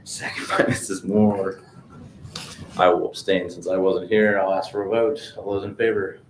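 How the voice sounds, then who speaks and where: A man's voice: deep voice, level tone, moderate pace. A man speaking formally as the president chairing a town council meeting. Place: indoor council meeting room. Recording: echoey meeting room, clear background noise.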